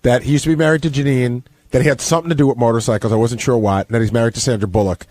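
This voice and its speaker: A man's voice: mid-range voice, fairly even intonation, rapid-fire, a little mellow, dry recording. A man chatting casually on a radio recap show, telling anecdotes and quoting other people as he goes. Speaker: radio show staffer and commentator.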